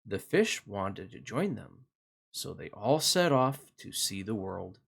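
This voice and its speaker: A man hosting a children's read-along, narrating a picture book expressively with deliberate, sing-song emphasis for young listeners. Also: fairly low pitch, big pitch swings, gravelly, breathy edge.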